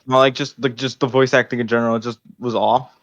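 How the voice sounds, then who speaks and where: A man co-hosting a podcast in slangy, halting casual talk full of filler words. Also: mid-range voice, level tone, highs cut off. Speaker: podcast co-host.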